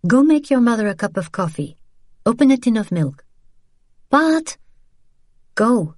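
A woman's voice: a little high, big pitch swings, a little slow, no room sound, studio quality, voice pushed up. A woman voicing a tired, blunt and defiant young woman who speaks sharply and firmly. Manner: sharp, exasperated, firm.

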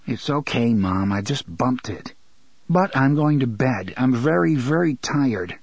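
A man's voice: mid-range voice, expressive, unhurried, a little mellow, no echo, dull audio. A man voicing an angry, defiant teenage boy who talks back sharply. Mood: tired, firm.

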